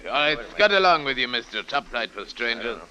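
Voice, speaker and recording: An actor playing a crafty old waterfront dice-game runner with folksy, sailor-like phrasing in an old radio drama. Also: male voice, medium pitch, animated, fairly quick, bright, forceful tone, smooth. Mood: brisk, teasing. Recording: vintage radio recording with background crowd.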